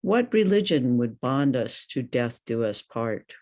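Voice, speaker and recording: An older woman reading poetry aloud in a deliberate, questioning manner. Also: a bass voice, very animated, darkish tone. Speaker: poet.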